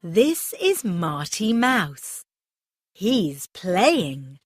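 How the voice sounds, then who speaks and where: A woman narrating a scripted children's story in simple, deliberate sentences for young listeners. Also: lowish voice, sing-song, over loud music.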